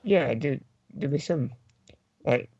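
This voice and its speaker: A man with a creaky, fry-edged voice talks casually as a panellist on a gaming podcast. The sound is close and dry, slightly dull at the top. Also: mid-range voice, animated, unhurried, warm tone, no room sound. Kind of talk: casual chat about video games.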